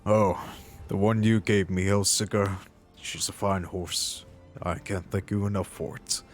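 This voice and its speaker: A low-pitched man playing a fantasy game adventurer, a mercenary in his thirties. He muses slowly and haltingly, earnest and wistful, as music plays behind him. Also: steady tone. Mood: friendly. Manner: musing, halting, reflective. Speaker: role-playing gamer voicing his character. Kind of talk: in-character fantasy game monologue.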